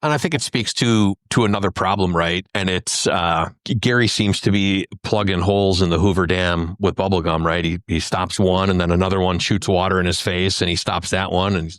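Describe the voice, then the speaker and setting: A man's voice: low-pitched, steady tone, a little fast, hardly any pauses, a bit bright, no room sound, clean studio sound. A man co-hosting a podcast, giving casual commentary in conversation. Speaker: podcast co-host.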